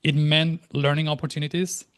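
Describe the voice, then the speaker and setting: A man in his thirties from Argentina, a native Spanish speaker, talking reflectively as an interview guest with frequent restarts and pauses. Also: fairly high pitch, flat delivery. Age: 30s. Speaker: interview guest, life and language coach. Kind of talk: casual interview conversation.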